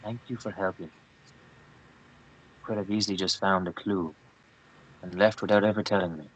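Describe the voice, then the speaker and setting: A man's voice: deep voice, fairly even intonation, moderate pace, lo-fi sound. A man playing an earnest, brooding and loyal local man in a screenplay table read.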